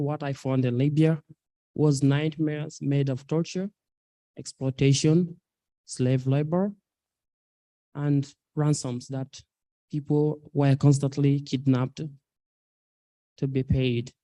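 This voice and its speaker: A man in his twenties from South Sudan, an activist speaking earnestly at a public talk, with halting delivery and many fillers. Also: on the high side, dark tone, no room sound. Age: 20s. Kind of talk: personal testimony at a public talk.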